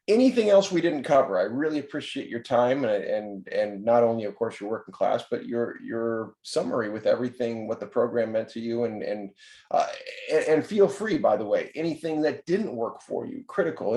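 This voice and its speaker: A man's voice: mid-range voice, animated, a little fast, darkish tone, echoey room. A man running a program, interviewing a participant over a video call in a rambling way, with many fillers and restarts. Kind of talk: conversational interview.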